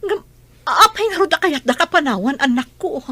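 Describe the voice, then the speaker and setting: A woman's voice: high-pitched, expressive, fairly quick, pushed and bright, no room sound. A Filipino woman playing an anguished, stubborn mother pleading with her children in a radio drama. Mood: distraught.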